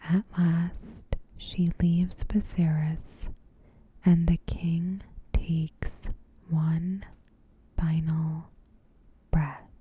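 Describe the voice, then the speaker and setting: A woman softly whispering a scripted recap in a gentle, hushed, breathy ASMR narration. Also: fairly low pitch, level tone, extremely slow. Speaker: ASMR host.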